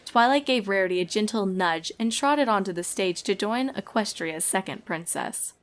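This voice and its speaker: A woman reading scripted storybook narration in a dramatic, expressive manner. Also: medium pitch, moderate pace.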